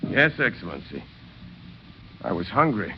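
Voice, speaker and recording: A ragged, hungry ex-convict who pleads humbly and haltingly. His voice moves widely between low murmurs and raised appeals. It comes from a vintage radio drama with a muffled sound and faint noise. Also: very animated, exaggerated voice. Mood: humble, desperate. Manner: halting, plain-spoken pleading. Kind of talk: 1930s radio drama acting.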